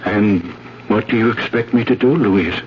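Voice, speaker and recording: An actor in a 1970s radio drama playing a grave priest hearing a confession. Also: male voice, lowish voice, moderate pace, natural voice. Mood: sorrowful, grave. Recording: dull, faintly noisy old radio recording.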